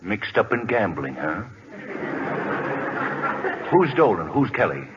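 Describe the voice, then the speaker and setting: Stern father, wildly swinging pitch. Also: sing-song, takes it slowly. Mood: baffled, gruff. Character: stern, suspicious, bewildered middle-aged father. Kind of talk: 1940s radio acting. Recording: dull, faintly noisy old radio broadcast.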